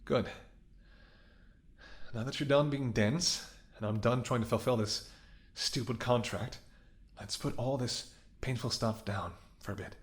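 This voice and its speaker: A man's voice: mid-range voice, natural voice. A man acting in a romantic audio roleplay as a devoted, stubborn ex-bodyguard, speaking earnestly and intimately with emotional intensity. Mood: tender, teasing. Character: devoted gruff ex-bodyguard, fiercely loving, stubborn and protective.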